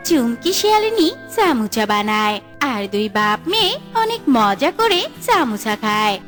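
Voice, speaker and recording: A woman's voice: fairly high pitch, very animated, a little slow, fairly bright tone. Woman, story narrator, scripted children's tale delivery, light music behind.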